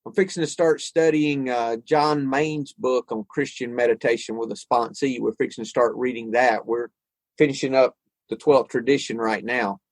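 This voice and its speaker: Guy musing out loud on a podcast, lots of restarts, mid pitch, dry mic. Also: steady tone, normal speed, dry recording. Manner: reflective, thinks aloud with frequent restarts. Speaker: podcast co-host. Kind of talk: casual conversation on recovery and spirituality.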